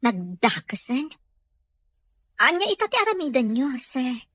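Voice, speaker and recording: High, lively, gentle caregiver mother for radio drama, played by a woman; dry close sound. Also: unhurried, slightly rough, no echo, full character voice. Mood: concerned. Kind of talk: Ilocano audio drama acting.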